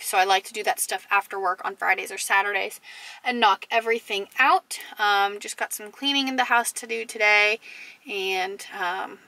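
A young woman vlogging casually to the camera, her voice a little hoarse from a cough, chatty and enthusiastic with many fillers. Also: mid-range voice, sharp tone, no room sound, rough recording. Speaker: lifestyle vlogger. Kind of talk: casual vlog chat to camera. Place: parked car, then in a large store and a parking lot. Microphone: camera on dashboard or handheld at arm's length.

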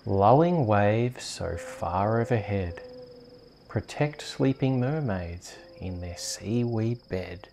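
An Australian man hosting and reading bedtime stories in a soft, soothing, unhurried ASMR storytelling manner. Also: fairly low pitch, lively intonation, vocal fry, a little hiss or hum. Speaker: host reading bedtime stories on a video channel.

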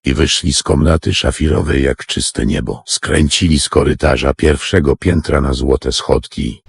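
A man's voice: a bass voice, steady tone, normal speed, creaky, slightly rough, no echo, exaggerated voice. A man narrating a scripted storybook radio play.

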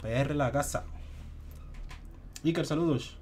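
Laid-back young gamer. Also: male voice, on the high side, measured pace, darkish tone, slightly rough. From Dominican Republic. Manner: chatty, laid-back, mixes English words in. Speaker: gaming streamer. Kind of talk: casual gameplay commentary. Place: home room, indoors.

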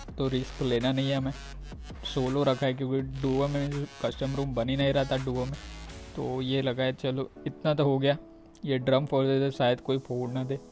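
A man's voice: mid-range voice, darkish tone. A man with an Indian accent giving casual, informal commentary on a video game, talking directly to his viewers. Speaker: gaming video creator.

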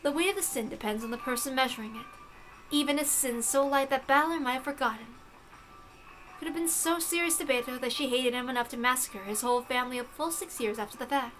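A woman voicing an aloof, analytical female witch in a visual novel, in a measured, formal and deliberate way. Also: sits high, a bit bright, faint music behind, low-quality recording. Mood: pondering. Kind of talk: visual novel character reading.

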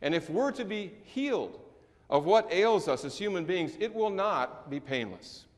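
A middle-aged man preaching in a large church sanctuary, his voice pitched fairly high and moving widely between lifted phrases and low, quiet endings. He stresses key words slowly and deliberately. The room adds a long, ringing reverb. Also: expressive. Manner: measured preaching with slow emphatic stresses and falling endings. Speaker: pastor. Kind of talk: sermon. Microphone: distant camera recording in the sanctuary.

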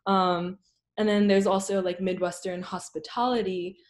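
A woman's voice: medium pitch, flat delivery, moderate pace. Chatty young American woman on a video call. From United States. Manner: loose and chatty, many ums and likes. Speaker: artist. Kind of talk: casual panel discussion. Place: home room, video call. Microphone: laptop mic on a video call.

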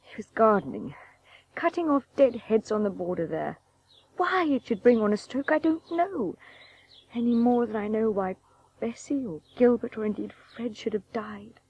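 An actress playing an older, devoted and candid wife with dry concern in a radio drama. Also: female voice, fairly high pitch, lively intonation, moderate pace, a little mellow, husky, highs cut off. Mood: weary concern.

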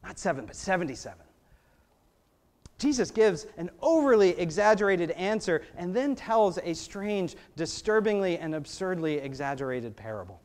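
A man's voice: high voice, animated, moderate pace, darkish tone. A man in his thirties with an American accent, preaching a sermon from a church pulpit microphone, conversational and full of rhetorical questions. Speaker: pastor. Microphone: gooseneck pulpit microphone.

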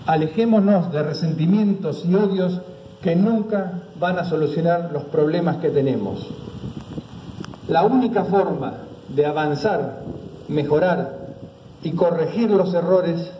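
A middle-aged man giving a formal commemorative speech, reading solemnly in short measured phrases into a stand microphone outdoors. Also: fairly high pitch, mellow, a little hiss or hum. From Argentina. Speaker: speaker at a memorial ceremony. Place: outdoors on a town green by a street. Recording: dull outdoor recording with echo and faint ambience.

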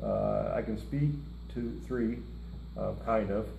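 Rambling middle-aged man, mellow-toned. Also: fairly low pitch, takes it slowly, gravelly, muffled recording. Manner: rambling, joking asides. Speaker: co-host of a music reaction show. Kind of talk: casual chat. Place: indoor room, seated at a table before a lit curtain. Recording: roomy room with faint hiss.

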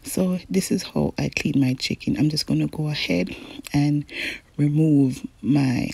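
A young woman narrating her home cooking, talking viewers through each step in an easygoing, chatty way. Her voice is low-toned and gravelly, with a husky edge, recorded close and dry. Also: measured pace, warm tone, no echo. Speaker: cooking channel host. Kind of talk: cook-along voice-over narration. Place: home kitchen.

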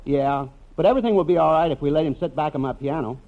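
Actor as a fast, high-voiced brash bandsman. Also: close to an everyday voice. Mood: deadpan cheerful. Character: brash, cheerful band pianist on the phone. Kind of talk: 1950s radio comedy acting. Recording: thin, tinny old radio phone call.

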